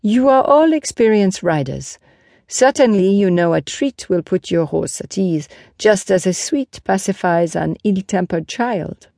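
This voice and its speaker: A woman voicing a calm, gently reproving male horse tamer in a storybook, measured, earnest and instructive. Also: mid-range voice, vocal fry, no echo, studio quality. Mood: patient, instructive.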